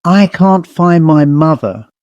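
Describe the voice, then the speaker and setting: A man's voice: on the high side, expressive, slow, a little mellow, clear, resonant tone, no room sound, muffled recording. Man as a plaintive little ghost girl. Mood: pleading. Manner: faraway, plaintive. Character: pitiful little lost ghost girl, pleading for mother.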